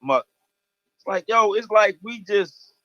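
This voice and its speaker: A man's voice: high voice, lively intonation, slow pace, bright, forceful tone. A man in his thirties with an American accent, chatting earnestly in slangy, casual speech over a lo-fi video call. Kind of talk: casual chat, anecdotal. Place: indoor room, video call. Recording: dull lo-fi video call audio.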